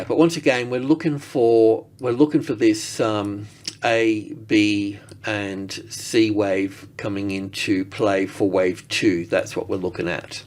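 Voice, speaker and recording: Low-voiced man rambling through market commentary. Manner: rambling, thinking aloud, trailing off and rephrasing. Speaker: market analyst presenter. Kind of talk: casual screen-share trading commentary.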